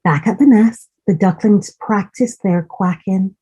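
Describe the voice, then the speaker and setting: A woman storyteller reading a children's story in a deliberate, expressive manner, with asides and questions to young listeners. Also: mid-range voice, slightly rough.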